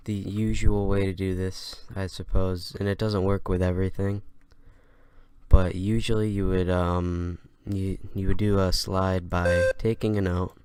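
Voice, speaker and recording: A man giving a casual, unscripted software tutorial in a hesitant, halting way. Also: deep voice, clean tone. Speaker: tutorial host.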